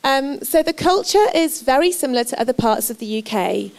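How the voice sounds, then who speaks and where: Woman, from Wales, university representative, chatty presentation, slightly high voice, frequent ums. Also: lively intonation, a little fast, gravelly, darkish tone. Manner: chatty and reassuring, frequent ums and light laughs. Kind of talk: presentation to prospective students. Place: lecture or seminar room.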